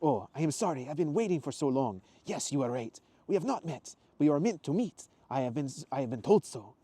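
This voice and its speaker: An effusive Italian traveler acted by a man reading a storybook, earnest and grateful. The voice is raised and swings widely in pitch, with an Italian accent. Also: expressive, a little fast, dark tone, breathy edge, no room sound. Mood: sincere, grateful.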